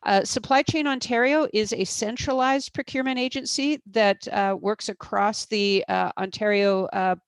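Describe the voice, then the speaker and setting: A woman speaking in a webinar presentation, explaining step by step with plenty of "uh" and "um" and self-corrections. Her pitch sits in the middle range. The sound is dry and close, with no room around it. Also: fairly even intonation, normal speed, few pauses, clear, resonant tone, no room sound. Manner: explanatory, many fillers and restarts. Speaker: procurement expert presenter.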